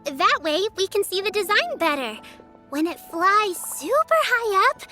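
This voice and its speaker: A woman's voice: very high-pitched, very animated, a little slow, music in the background, full character voice. A woman voicing a cheerful, sweet little girl in a game. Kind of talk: game voice acting.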